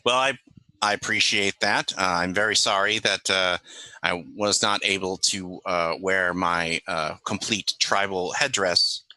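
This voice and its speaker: A man playing a dry, sardonic sheriff in a tabletop game, halting and mock-apologetic. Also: low-pitched, steady tone, bright, forceful tone, no echo, pitched below the performer's own voice, exaggerated voice, poor audio. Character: dry, sardonic male small-town sheriff.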